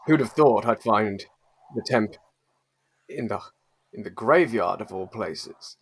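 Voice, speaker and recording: A man playing a hospital radiologist in an improvised audio drama, giving a halting, deliberate monologue. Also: on the low side, expressive, slightly rough, full character voice. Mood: musing. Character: male hospital radiologist, narrating a wry monologue.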